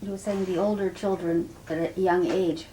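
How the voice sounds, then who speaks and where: Soft-toned woman interviewer with faint hiss behind. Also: on the low side, fairly even intonation, normal speed, low-quality recording. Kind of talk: oral history interview.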